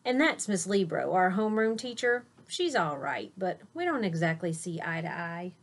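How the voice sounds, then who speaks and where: A woman's voice: fairly low pitch, big pitch swings, moderate pace. A woman voicing a cocky schoolboy class clown from a storybook, boastful and emphatic.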